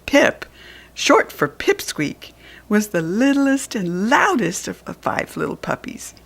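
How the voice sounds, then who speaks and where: A woman with an American accent reading a children's story aloud in an expressive, deliberate storytelling style. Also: medium pitch, vocal fry. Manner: animated, deliberate storytelling emphasis.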